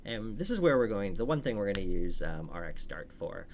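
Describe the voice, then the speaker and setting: A man's voice: lowish voice, sing-song, brisk, gravelly, slight background noise. A man giving a casual, informal step-by-step coding walkthrough as a tutorial instructor. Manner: informal, explains step by step while working.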